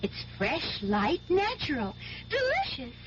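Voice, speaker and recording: A perky fairy-tale taste tester for a 1970s radio ad. She is very high and bossy, swinging widely in pitch. The old recording is dull. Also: huge pitch swings, slow pace, slight background noise, exaggerated voice. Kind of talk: 1970s radio commercial acting.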